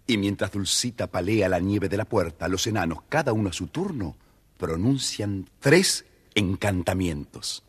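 A man narrating a children's storybook in an expressive, theatrical way. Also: low-pitched, measured pace, slightly rough.

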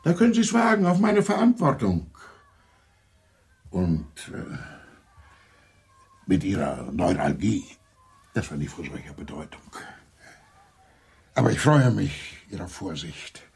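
Courteous old gentleman doctor, fairly low voice. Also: big pitch swings, measured pace, vocal fry, long pauses, heavily acted. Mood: courtly, reassuring. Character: courteous, amiable elderly male family doctor. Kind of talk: German radio drama acting.

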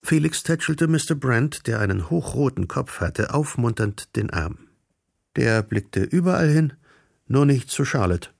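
Gravelly male radio drama narrator. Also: medium pitch, lively intonation, unhurried, no room sound, studio quality.

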